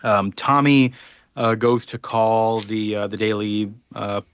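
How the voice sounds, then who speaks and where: A man's voice: lowish voice, steady tone, slow pace, smooth, dry recording, lo-fi sound. A man casually retelling a comic's plot on a podcast, rambling with lots of fillers. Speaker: podcast host recapping a comic. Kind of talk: casual chat.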